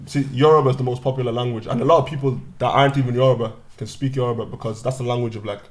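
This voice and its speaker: A man in his thirties, born in Nigeria and raised in Ireland, chatting as a podcast guest in a small studio on a boom-arm desk mic, telling stories loosely with lots of "bro" and restarts. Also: fairly even intonation. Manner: fast, loose storytelling full of "bro" and restarts. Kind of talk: casual chat.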